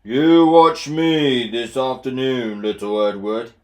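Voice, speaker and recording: A man voicing a big, proud, grumbling steam engine for a children's storybook, with pompous, drawn-out speech. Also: darkish tone, close to an everyday voice. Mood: boastful. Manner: pompous, booming, drawn-out delivery.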